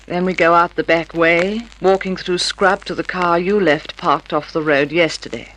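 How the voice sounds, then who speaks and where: A woman acting in a radio drama as a scheming, eager wife plotting with her lover, deliberate and conspiratorial. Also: fairly low pitch, fairly even intonation, a bit bright, a little hiss or hum, close to an everyday voice, low-quality recording.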